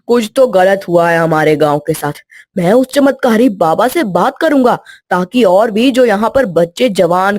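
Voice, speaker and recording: A woman's voice: on the high side, darkish tone, no room sound, studio quality. A woman as an earnest, plucky village boy. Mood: insistent. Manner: pleading, insistent, polite to elders. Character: earnest, brave, persistent young village boy.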